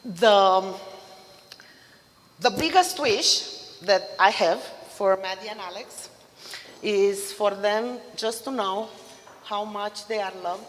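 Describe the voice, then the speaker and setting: A woman in her fifties from Romania with a Romanian accent, giving a mother-of-the-groom wedding toast in a loud voice, laughing between lines. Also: mid-range voice, slow pace, long pauses, a little hiss or hum. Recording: reverberant hall.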